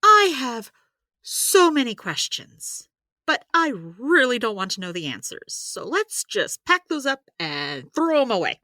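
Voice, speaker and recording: Bright, animated, wry healer woman. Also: high-pitched, unhurried, no room sound, exaggerated voice. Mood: wry, exasperated. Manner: wry, explanatory, exasperated asides. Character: brisk, wry female supernatural healer, practical and chatty. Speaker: healer giving therapy advice. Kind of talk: audio drama dialogue, conversational.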